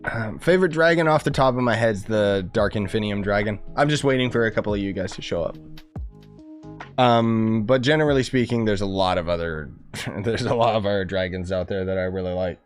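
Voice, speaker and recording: A man's voice: fairly low pitch, fairly quick, soft background music. A man live streaming a game with casual, rambling, slangy commentary, his voice a bit worn from coughing. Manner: informal, rambling, gamer slang. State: losing voice, coughing.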